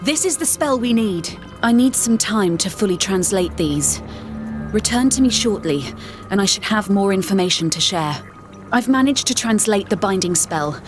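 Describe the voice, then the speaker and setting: A woman's voice: mid-range voice, expressive, normal speed, music in the background, close to an everyday voice. A woman voicing an earnest, learned female scholar who explains spells and gives quest directions in a game. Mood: explanatory. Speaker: quest giver.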